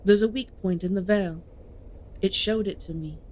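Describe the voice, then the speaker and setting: Woman acting a determined, cold fantasy heroine, medium pitch, dark mellow tone, blunt delivery, audio drama. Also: moderate pace, natural voice. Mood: defiant. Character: proud, determined soulless woman, blunt and secretive.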